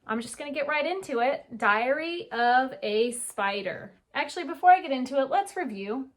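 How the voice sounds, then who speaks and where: A woman's voice: high voice, lively intonation, normal speed. A woman teacher reading a children's storybook aloud with chatty asides, putting questions to young listeners and answering them. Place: indoor reading nook at home.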